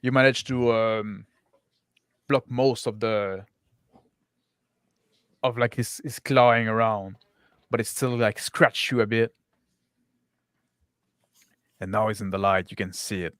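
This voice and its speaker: A man narrating an improvised tabletop game as game master, piecing his descriptions together haltingly. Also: fairly low pitch, fairly even intonation, a bit bright, clean tone, dry recording, clean studio sound.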